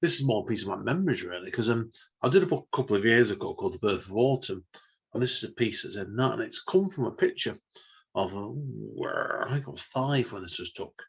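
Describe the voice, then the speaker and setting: Low, husky Northern English man, chatty. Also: expressive, a little fast. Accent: Northern English, Manchester area. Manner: rambling, repeating tag questions. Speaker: podcast co-host. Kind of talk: casual podcast chat.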